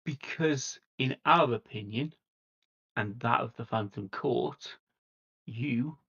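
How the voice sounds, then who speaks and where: Man as earnest vampire envoy in tabletop play: creaky mid-pitched voice, measured and persuasive, dull lo-fi livestream sound. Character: earnest, diplomatic vampire envoy seeking a truce. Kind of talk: in-character tabletop roleplay dialogue.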